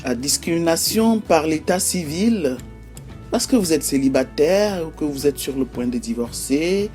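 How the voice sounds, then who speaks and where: A woman with a Cameroonian accent hosting an explanatory vlog, listing examples one by one in a measured way with frequent hesitations. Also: sits low, dark tone. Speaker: YouTube host.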